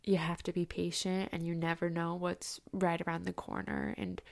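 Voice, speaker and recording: A woman's voice: lowish voice, flat delivery, moderate pace, gravelly, darkish tone. A young woman hosting a podcast, chatting casually with lots of fillers and restarts. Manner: chatty, many fillers and restarts, laughs often. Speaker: podcast host. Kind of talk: casual interview chat.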